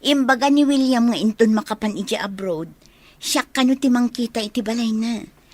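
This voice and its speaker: A woman's voice: a little high, moderate pace, close to an everyday voice. A woman playing a hesitant, money-minded young village daughter in an Ilocano comedy radio drama. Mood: explaining.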